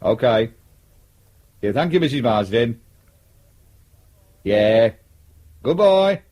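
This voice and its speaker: Sardonic British police detective. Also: male voice, medium pitch, sing-song, slow pace, darkish tone. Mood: businesslike. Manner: dry, sarcastic, blunt. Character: sardonic, ambitious male police detective sergeant. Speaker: actor in a radio drama. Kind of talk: naturalistic British radio crime drama.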